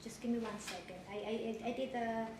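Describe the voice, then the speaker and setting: A woman from the Philippines with a Filipino accent, whose first language is Tagalog, giving halting courtroom testimony with many restarts. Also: a little high, fairly even intonation. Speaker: witness in a divorce hearing. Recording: echoey courtroom, background noise.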